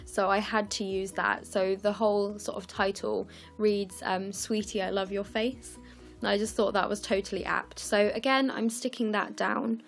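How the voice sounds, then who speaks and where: A young woman from the UK, croaky and scratchy, chatting through her scrapbooking as a casual voice-over, with lots of ums and apologies. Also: mid-range voice, steady tone, normal speed, soft background music. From United Kingdom. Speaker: scrapbooking vlogger. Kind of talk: casual process video voice-over.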